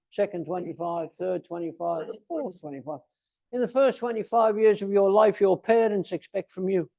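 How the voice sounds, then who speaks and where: A man of about eighty giving a motivational speech, speaking theatrically and emphatically, with deliberate pauses and repetition. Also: high-pitched, gravelly, dark tone. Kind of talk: motivational speech to a club audience.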